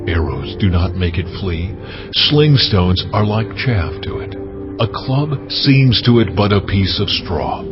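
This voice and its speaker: An actor playing God as a stern, majestic deity in a dramatized scripture reading, grand and solemn in delivery. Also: male voice, lowish voice. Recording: muffled audio under a music bed.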